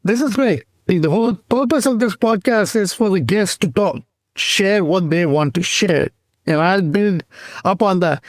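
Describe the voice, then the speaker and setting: A man's voice: high-pitched, no echo, clean studio sound. A man hosting a podcast, asking loose, rambling questions with restarts. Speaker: podcast host. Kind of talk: interview questions.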